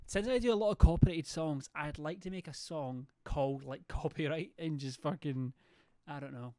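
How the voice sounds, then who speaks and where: A young man chats loosely, swearing and rambling as he reads messages from his stream's chat. His voice sits a touch high for a man. The sound is close and completely dry. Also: normal speed, a little mellow, no room sound. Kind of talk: casual chat reading viewer messages.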